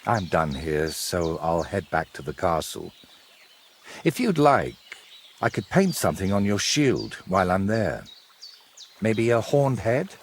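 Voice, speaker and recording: A sardonic, secretive painter from a medieval game drama. His low voice moves expressively, wry and evasive, with faint outdoor ambience behind him. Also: sing-song, a little slow, slight background noise, full character voice. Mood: weary, dry. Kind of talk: dramatic dialogue in a cinematic historical game.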